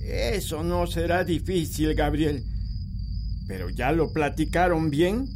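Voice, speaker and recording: An actor playing an old male village healer who gives calm, firm instructions. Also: on the high side, slow pace, long reverb tail, noisy background. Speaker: actor in a sound drama.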